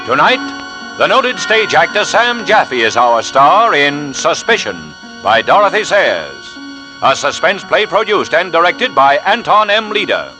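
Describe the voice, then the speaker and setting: A man with a high, lively voice opens a radio thriller, very slow and dramatic. His pitch swoops widely. Clear piano music plays behind him. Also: poor audio. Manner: dramatic, drawn-out. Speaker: announcer. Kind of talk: dramatic show opening.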